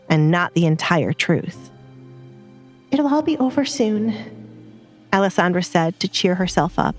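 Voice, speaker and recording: A woman reading scripted narration for a dramatic serialized story. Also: fairly low pitch.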